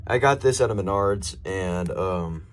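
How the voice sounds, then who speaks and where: Low-voiced young American man, rambling casually. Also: slight background noise. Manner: halting, self-correcting, rambling. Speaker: hobbyist showing a radio collection. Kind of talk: casual show-and-tell vlog. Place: indoors at home by a desk.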